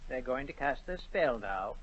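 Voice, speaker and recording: An actor playing a fussy, scheming, matter-of-fact royal courtier in a 1940s radio drama. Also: male voice, mid-range voice, lively intonation, moderate pace, fairly bright tone. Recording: dull, slightly noisy 1940 radio recording.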